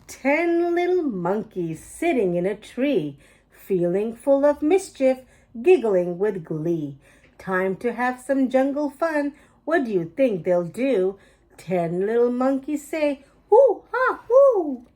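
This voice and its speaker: A woman's voice: a little high, sing-song, takes it slowly, mellow. A woman hosting a children's storytime, reading rhymes aloud expressively with a sing-song delivery and emphasized sound words. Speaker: storytime host reading picture books aloud.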